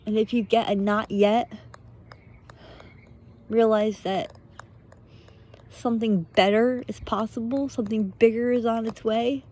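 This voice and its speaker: Slow-talking woman with a mellow voice. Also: on the high side, fairly even intonation. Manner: earnest, halting short phrases, rhetorical questions. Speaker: motivational speaker, vlogger. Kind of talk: unscripted spiritual pep talk.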